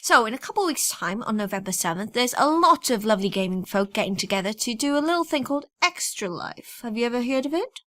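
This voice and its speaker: A woman's voice: a little high, animated, fast, hardly any pauses, sharp tone, no echo, clean studio sound. A woman co-hosting a podcast, chatting casually with a wry, bantering manner. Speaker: podcast co-host. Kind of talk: casual chat.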